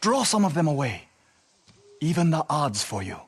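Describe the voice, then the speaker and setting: An actor playing a loyal young commoner in a video game, earnest, anxious and deferential, pleading yet brave. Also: male voice, a little high, big pitch swings, normal speed, creaky, slightly rough, heavily acted. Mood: earnest resolve. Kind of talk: dramatic video game acting.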